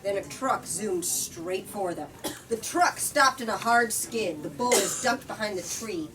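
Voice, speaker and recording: A woman's voice: medium pitch, expressive, measured pace. A woman reading a story aloud as host, dramatic and informal in her delivery. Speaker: host reading book excerpts. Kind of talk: expressive book reading with casual asides. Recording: distant mic, faint background noise.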